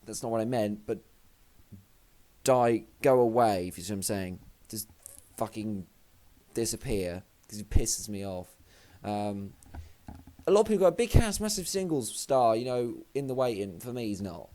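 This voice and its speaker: A young man, slightly hoarse, rambling and swearing casually as he previews a wrestling show. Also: on the low side, big pitch swings, normal speed, mellow. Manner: rambling, sweary, self-deprecating. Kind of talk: casual vlog commentary.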